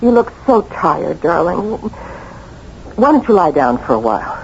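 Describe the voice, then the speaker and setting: Anxious devoted wife, muffled radio drama. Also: female voice, fairly low pitch, sing-song, unhurried, vocal fry, heavily acted. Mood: solicitous. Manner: earnest, solicitous, pleading. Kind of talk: old-fashioned radio drama acting. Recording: noisy, muffled vintage radio broadcast.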